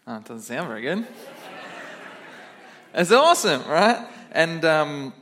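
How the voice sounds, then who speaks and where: A man's voice: fairly high pitch, very animated, measured pace, pushed and bright, slight background noise. A young man, a kids pastor, giving a chatty, upbeat children's sermon in church and quizzing the kids.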